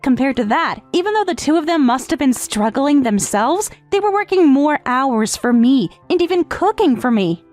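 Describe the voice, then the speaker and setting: Withdrawn teenage step-sister for a manga dub, voiced by a woman: high pitch, earnest, guilt-ridden, tender, hesitant confessions over music. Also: lively intonation, moderate pace, hardly any pauses, darkish tone. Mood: remorseful. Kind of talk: dramatic first-person narration in manga dub. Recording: background music under the voice.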